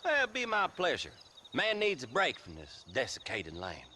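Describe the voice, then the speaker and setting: Folksy frontier rustic, Southern American accent, swooping pitch, colourful manner, faint outdoor noise. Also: male voice, huge pitch swings, moderate pace, sharp tone, full character voice. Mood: folksy, chatty. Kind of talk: video game cutscene acting.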